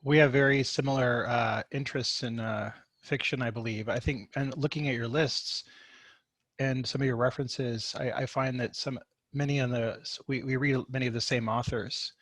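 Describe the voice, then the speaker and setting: A man's voice: medium pitch, steady tone, normal speed, a bit bright, no room sound. A man hosting a podcast interview, asking questions in a rambling way with restarts. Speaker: podcast host.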